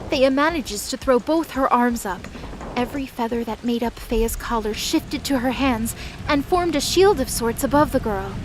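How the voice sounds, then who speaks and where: A woman's voice: fairly high pitch, low-quality recording. A woman reading scripted, dramatic story narration as the narrator of a fantasy drama.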